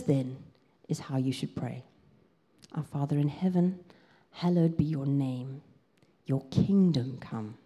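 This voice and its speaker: A woman with a South African accent preaches in a low, mellow voice. Her delivery is lively, with pitch that swings widely and rises as she warms to her story. She tells it like a conversation, with frequent ums. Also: husky. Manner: lively storytelling, gets excited, lots of ums. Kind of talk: sermon with a personal story.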